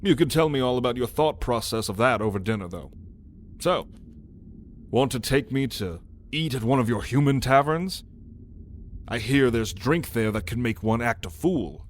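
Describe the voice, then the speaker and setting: A man's voice: mid-range voice, animated, slight background noise, lightly acted. A man voicing a lazy, playful old male dragon in an ASMR roleplay, with a teasing, sardonic drawl. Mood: playful, eager. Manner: unhurried, teasing, chuckling drawl. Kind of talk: ASMR roleplay addressed to the listener.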